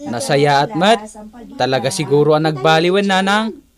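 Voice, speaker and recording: A performer with an Ilocano accent playing an eager, excitable young child in a radio drama. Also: medium pitch, moderate pace, a crowd in the background, close to an everyday voice.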